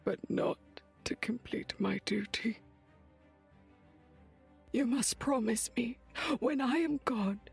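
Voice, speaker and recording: A woman playing a weary, dying foreign witch in a dark fantasy audio drama, grave and halting, with a husky, breathy voice that often sinks near a whisper. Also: sits high, heavily acted.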